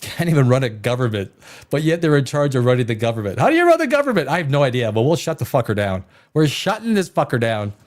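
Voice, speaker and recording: A man's voice: mid-range voice, big pitch swings, quick pace. A man hosting a livestream alone, talking off the cuff in a voice that rises and falls a lot. He jumps between tangents, restarts his sentences and swears freely. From Czech Republic. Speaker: livestream host. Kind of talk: rambling solo livestream talk.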